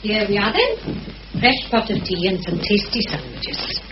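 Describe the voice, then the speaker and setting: Bustling motherly woman, lively lilt. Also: mid-range voice, moderate pace. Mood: cosy, fussing. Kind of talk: mid-century British radio drama acting. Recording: muffled, noisy old radio recording.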